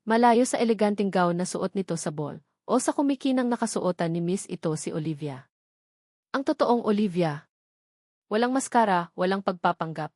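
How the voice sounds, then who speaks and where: A woman narrating a romance story in a steady, dramatic reading style. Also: medium pitch, no room sound, studio quality.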